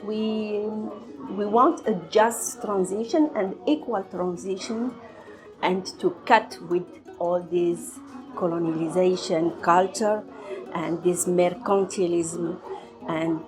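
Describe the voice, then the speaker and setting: Slow, halting woman from Morocco. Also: on the low side, music in the background, rough recording. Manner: halting, searching for words. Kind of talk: unscripted interview answer.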